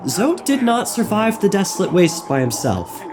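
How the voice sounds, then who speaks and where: A man narrating a scripted game lore video essay in a wry, theatrical manner with dramatic pauses. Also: high-pitched, very animated. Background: music.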